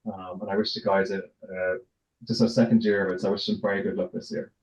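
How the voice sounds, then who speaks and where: A man's voice: low-pitched, flat delivery, fast talker. A middle-aged man with an Irish accent, answering casually as an interview guest. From Ireland, raised in County Tipperary. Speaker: interview guest, tennis tournament director. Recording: roomy echo, dull lo-fi sound.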